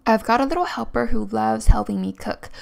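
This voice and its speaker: A young woman narrates a home-making vlog in a casual voice-over. Her voice sits a bit high and has a clear gravelly fry to it. Faint music plays behind her. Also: brisk, a little mellow. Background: background music.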